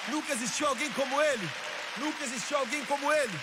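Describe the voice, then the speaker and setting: A man preaching a fervent revivalist sermon, shouting exultantly with emphatic repetition. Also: very high voice, fast. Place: large reverberant hall with congregation. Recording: echoey hall, noisy crowd behind.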